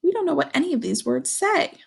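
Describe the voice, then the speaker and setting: A woman's voice: sits high, animated, fairly quick, creaky, voice pushed up, exaggerated voice. A woman voicing a puzzled, doubtful young boy in an earnest children's storybook read-aloud.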